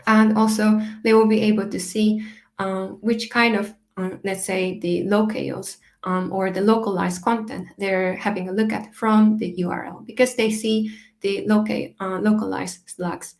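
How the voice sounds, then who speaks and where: Woman, Japanese first language, tech conference speaker, mid pitch, halting delivery full of fillers. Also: little pitch movement, gravelly, darkish tone. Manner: hesitant, many fillers and restarts. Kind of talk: technical conference talk.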